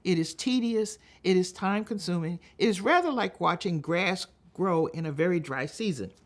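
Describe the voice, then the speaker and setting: Older American female orator, lowish pitch, emphatic and measured, with weighted pauses for an impassioned speech. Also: lively intonation. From United States. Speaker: public speaker, veteran delegate. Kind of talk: impassioned public talk.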